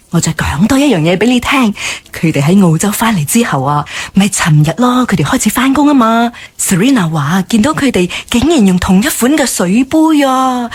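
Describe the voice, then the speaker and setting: Animated husky office gossip woman. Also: on the high side. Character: eager, gossipy female office worker. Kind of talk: radio drama acting.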